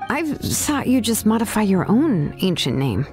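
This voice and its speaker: A woman's voice: medium pitch, sing-song, measured pace, a little mellow, faint music behind. A woman playing a shrewd young craftswoman in a video game, composed, analytical and skeptical. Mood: serious.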